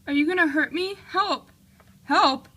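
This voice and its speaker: A woman's voice: sits high, measured pace, fairly bright tone. A woman playing an anxious, distressed queen and mother in an amateur puppet-show play. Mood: frightened.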